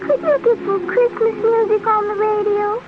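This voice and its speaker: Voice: very high voice, fairly even intonation, moderate pace. A performer playing a curious, polite, sweet little girl at bedtime in an old radio drama. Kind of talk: 1940s-50s radio acting. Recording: muffled, noisy old radio recording.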